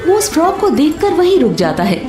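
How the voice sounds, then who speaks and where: A woman's voice: high-pitched, big pitch swings, fairly quick, echoey room. A woman narrator reading a scripted story. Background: soft background music.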